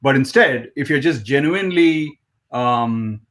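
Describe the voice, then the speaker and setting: A man hosts an interview in a friendly, affirming way. He thinks out loud as he builds his questions, with a few fillers along the way. His voice sits at an ordinary middle pitch. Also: expressive, measured pace. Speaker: host. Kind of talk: interview conversation.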